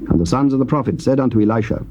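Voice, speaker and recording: An English man reading Bible narration in a steady, formal way on a lo-fi tape. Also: mid-range voice, racing, a little hiss or hum. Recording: dull lo-fi tape.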